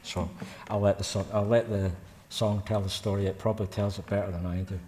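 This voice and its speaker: A man in his fifties with a Scottish accent from Paisley, chatting casually between songs at a concert and telling stories in a brisk, rambling way. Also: fairly low pitch, little pitch movement. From Scotland. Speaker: singer-songwriter performing a concert. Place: church. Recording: long church reverb with guitar.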